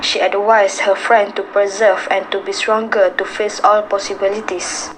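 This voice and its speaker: A woman's voice: medium pitch, level tone, normal speed, lightly acted. A woman playing the narrator of an amateur radio drama, reading scripted storytelling solemnly. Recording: noisy home-made recording.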